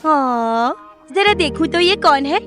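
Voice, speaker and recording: A woman's voice: sits high, lively intonation, takes it slowly, music in the background. A young woman playing a bold, protective, bossy big-sister character in an audio drama, speaking emphatically. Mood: delighted, cooing. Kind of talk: Hindi audio drama acting.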